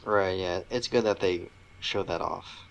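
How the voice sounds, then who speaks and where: A man's voice: sits low, a little slow, vocal fry, clean tone, dull audio. A man co-hosting a podcast, talking casually about pop culture, with audible background noise. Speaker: podcast co-host.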